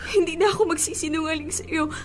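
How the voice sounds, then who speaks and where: A woman's voice: very high voice, fairly even intonation, quick pace. An actress playing a devoted, coaxing young girlfriend in a Filipino radio drama, with a Filipino accent. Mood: tearful pleading. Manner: emotive, coaxing, teasing. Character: pleading, playful young girlfriend, devoted and sweet.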